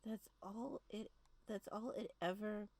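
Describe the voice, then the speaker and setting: A woman playing a story game on stream, reading and commenting in a halting, muttering way. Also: mid-range voice, a little mellow, dry recording. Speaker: streamer playing a horror visual novel.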